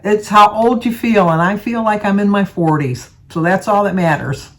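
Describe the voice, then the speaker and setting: An older woman, in her seventies, speaks. Her voice sits at an average pitch for a woman. She talks casually as a vlogger would, drifting through small stories in a loose, conversational way. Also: normal speed. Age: 70s. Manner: rambling, chatty storytelling. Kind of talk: casual chat to viewers.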